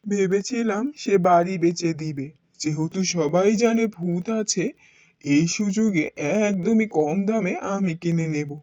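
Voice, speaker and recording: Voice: medium pitch, a little slow, a little mellow, no room sound, muffled recording, natural voice. Voice actor doing a greedy old caretaker villain. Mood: confessing. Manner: fawning, then confessing villain. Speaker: cartoon character voice.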